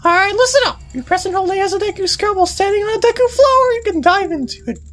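A woman's voice: very high voice, animated, quick pace, fairly bright tone, pitched above the performer's own voice. A woman voicing a bossy, impatient little girl fairy from a video game, pushy and whiny. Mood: bossy, instructing. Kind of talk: dubbing video game dialogue.

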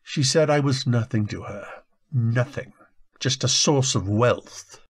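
An actor playing a guilt-ridden, anguished husband in a radio drama, speaking haltingly as emotion breaks through. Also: male voice, medium pitch, slightly rough. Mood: tormented confession. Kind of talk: old-time radio drama acting.

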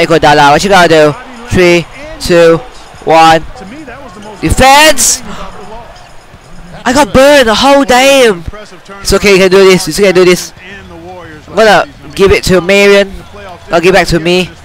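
A young man with a high, excitable voice yells, laughs and trash-talks his way through a basketball video game. He talks close into a headset mic in a small room, jumping between chatter and sudden shouts. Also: poor audio. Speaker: gamer. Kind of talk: excited gameplay commentary.

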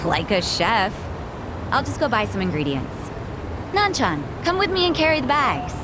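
A woman's voice: on the high side, sing-song, moderate pace, noisy background. An actress playing a spirited, teasing young woman sidekick in a video game. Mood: teasing, upbeat. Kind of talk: English video game voice acting.

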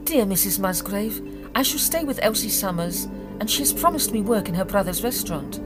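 An actress playing a dignified, earnest young Edwardian gentlewoman in an audio drama. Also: medium pitch, animated, fairly quick, over loud music, natural voice. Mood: reassuring. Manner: earnest, proper.

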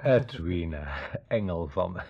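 An actor playing an affectionate, genial friend and husband in a radio drama, coaxing and a little hesitant. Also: male voice, deep voice, lively intonation, a little slow, creaky, slightly rough, no room sound, muffled recording, full character voice.

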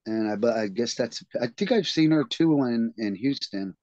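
Man, reading group host, earnest steady reading, dull lo-fi call audio, dry sound. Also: medium pitch, moderate pace, no room sound. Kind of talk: reading a text aloud, then group discussion.

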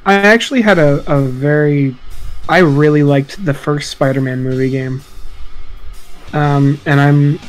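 A man's voice: fairly high pitch, fairly even intonation, unhurried. Rambling, sweary man over guitar music. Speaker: podcast panelist. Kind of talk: casual group discussion of video games. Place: online group voice chat.

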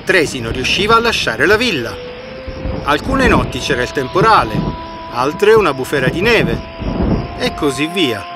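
A man with a fairly high, animated voice narrates a fairy tale. His delivery is expressive and scripted, with clear music playing behind him. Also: moderate pace. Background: background music.

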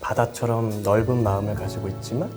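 A man's voice: on the low side, steady tone, fairly quick, music in the background. Nervous, playful young man. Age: 20s. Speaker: guest.

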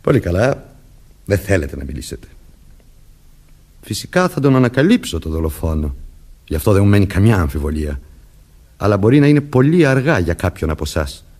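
An actor playing a stern, commanding young police detective questioning people deliberately in a Greek radio play. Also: male voice, low-pitched, very animated, a little mellow, husky, full character voice. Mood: grave, warning.

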